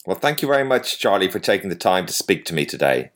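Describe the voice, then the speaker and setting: A man's voice: sits low, very animated, quick pace, fairly bright tone. A man hosting an interview podcast, reading introductions and putting questions to his guest.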